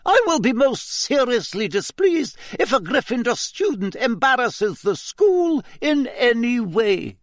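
A man's voice: extremely high pitch, sing-song, measured pace, hardly any pauses, dry recording, studio quality, voice pushed up, heavily acted. A man voicing a stern, strict older female teacher who speaks in a clipped, disapproving, firm way.

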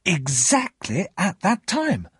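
A man voicing a frank young gentleman in a storybook, explaining things earnestly and deliberately. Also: sits high, huge pitch swings, breathy edge, no echo, clean studio sound, voice pushed up, full character voice. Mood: earnest, grave.

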